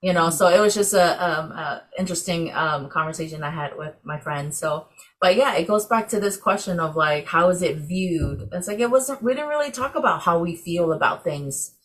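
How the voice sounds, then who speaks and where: A woman's voice: lowish voice, lively intonation, moderate pace, fairly bright tone. A woman from Samoa leading a casual class discussion over a video call, chatty, with many fillers. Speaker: class facilitator.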